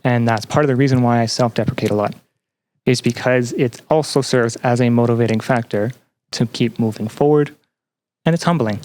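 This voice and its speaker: A man's voice: medium pitch, fairly quick, darkish tone. A young man chatting as a podcast guest, reflective and self-deprecating, often feeling his way through a thought. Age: young adult. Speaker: podcast guest, student representative. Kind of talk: casual interview chat.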